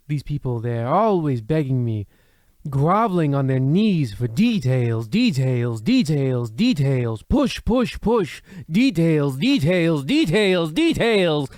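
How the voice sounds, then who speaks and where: A man's voice: a little high, a little mellow, no room sound. A man playing a sleepy, pompous, testy host in a parody of old radio mystery shows, rambling theatrically. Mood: weary. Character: sleepy, pompous male horror-anthology narrator, testy and rambling.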